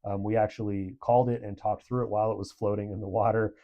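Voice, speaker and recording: Low-pitched man, mellow product reviewer. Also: level tone, fast, muffled recording.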